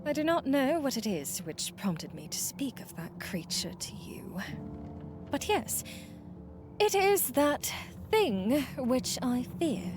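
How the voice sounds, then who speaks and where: A woman playing an earnest, fearful mortal friend in a fantasy game, with dramatic, breathy phrasing and formal, archaic wording. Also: sits high, big pitch swings, slow pace, exaggerated voice. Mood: uneasy, reluctant. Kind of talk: dramatic visual novel voice acting. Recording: music playing behind the voice.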